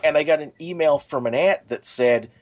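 A man's voice: fairly high pitch, normal speed, dull audio. A man co-hosting a podcast, talking casually and explaining his thoughts in a conversational way. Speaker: podcast co-host.

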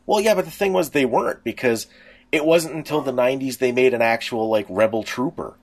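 A middle-aged man co-hosting a podcast, explaining things casually and a little haltingly as he thinks aloud. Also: mid-range voice. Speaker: podcast co-host.

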